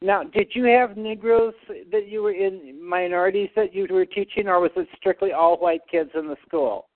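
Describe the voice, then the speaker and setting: A man calls in to a radio program and presses the guest with questions. His voice is notably high for a man. It comes over a thin, tinny phone line. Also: fairly even intonation, moderate pace. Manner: probing questions. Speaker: caller.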